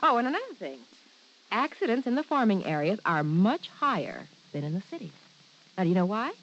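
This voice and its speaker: A woman's voice: medium pitch, very animated, fairly quick. An actress playing a kindly, capable, instructive policeman's wife in an old-time radio playlet. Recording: muffled old radio recording, faint hiss.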